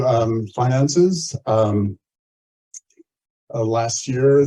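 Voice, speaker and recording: A man running a board meeting on a video call, giving a report in a measured, halting way. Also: lowish voice, dark tone, low-quality recording. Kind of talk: formal-ish meeting report.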